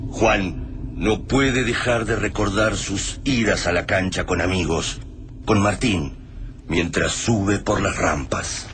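A man acting as a solemn Argentine narrator of a sci-fi war radio play, phrasing deliberately and with suspense. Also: low-pitched, a bit bright, slight background noise. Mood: grave, suspenseful. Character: grave male narrator of a sci-fi invasion war.

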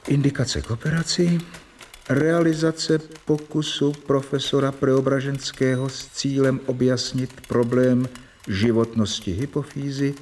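A man playing a doctor who reads out a formal medical record in flat dictation in a radio play. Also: medium pitch, a little hiss or hum, natural voice. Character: male doctor reading a dry medical record.

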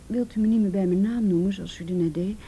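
A woman's voice: a little fast, mellow, highs cut off, natural voice. A woman acting a wary, self-deprecating sixteen-year-old schoolgirl in a radio play, hesitant and repeating her words. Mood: timid, downcast. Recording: faint hiss and low rumble.

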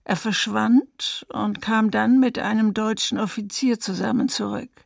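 A woman's voice: medium pitch, fairly even intonation, unhurried, creaky, no echo, clean studio sound. A woman playing a massacre survivor giving grave, restrained testimony in a documentary radio drama. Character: woman survivor of a wartime massacre recounting her escape.

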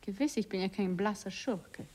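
Radio play: grandiose, teasing diva, played by an actress, mid-range voice, imperious and theatrical. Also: female voice, medium pitch, normal speed, darkish tone, husky, natural voice. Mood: grand, teasing. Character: capricious, grandiose, mocking stage actress.

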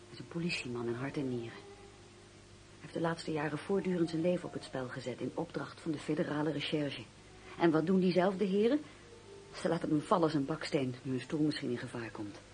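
An actress playing a loyal, indignant young fiancée in a radio drama, speaking earnestly and with emphasis. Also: female voice, deep voice, darkish tone. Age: young adult. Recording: noisy radio play recording.

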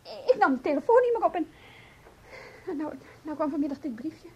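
An actress playing a flustered, apologetic and defensive estranged wife, halting and pleading, in a Dutch radio play. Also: female voice, high voice, expressive, dull audio.

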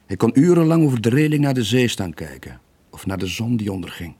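An actor playing a weary, lonely sailor in his late forties, gentle but evasive, with a husky voice and a subdued, hesitant manner in a radio drama. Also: medium pitch, big pitch swings, a little fast. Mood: wistful. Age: late 40s.

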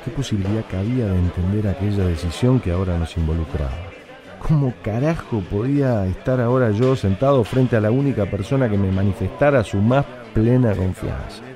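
An actor playing a pensive, guarded Argentine factory militant, telling his story in a measured, blunt way in a radio drama. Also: lowish voice, over music, close to an everyday voice. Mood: guilty, pensive. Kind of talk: dramatic first-person radio narration.

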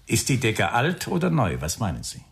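An actor playing an older, dry and sardonic police inspector who gives gruff orders in a radio crime drama. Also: male voice, medium pitch, wildly swooping pitch, moderate pace, fairly bright tone, heavily acted. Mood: brisk, probing.

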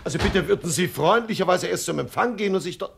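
An actor playing a suave, wordy swindler boss with mock-courteous manners in a radio crime comedy. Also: male voice, high-pitched, expressive, fast talker, creaky, loud music behind the voice. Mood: breezily confident. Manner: verbose, mock-courteous, hesitant filler words. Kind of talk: 1970s German radio crime comedy acting.

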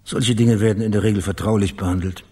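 An actor playing a dry, laconic male private detective with a husky voice in a radio play. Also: on the low side, steady tone, fast talker, mellow. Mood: cool, wry. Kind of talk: naturalistic radio play acting.

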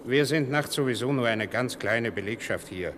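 Steady waterworks supervisor played by a man, noisy background. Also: medium pitch, normal speed, close to an everyday voice. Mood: concerned, assured. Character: steady, practical male night waterworks supervisor. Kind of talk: German radio drama acting.

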